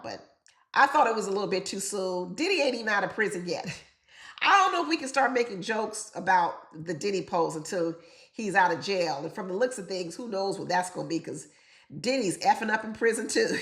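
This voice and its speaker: A woman's voice: medium pitch, a bit bright, roomy sound. A woman chatting straight to her viewers in an opinionated, chatty way, sounding congested from being sick. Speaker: reality TV commentator. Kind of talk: casual commentary chat.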